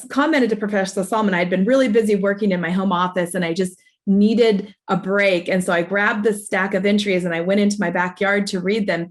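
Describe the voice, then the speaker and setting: Lady professor hosting a ceremony over video call, earnest and explaining stuff, mid-range voice. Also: mid-range voice. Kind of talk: informal ceremony presentation speech.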